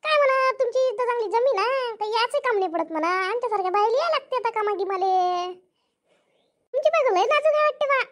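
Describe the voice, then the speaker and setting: A woman plays an older village woman who leads farm workers in a comic cartoon. Her voice is extremely high. She speaks Varhadi Marathi in a chatty, rustic, bossy way. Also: animated, fast talker. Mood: chatty, reassuring.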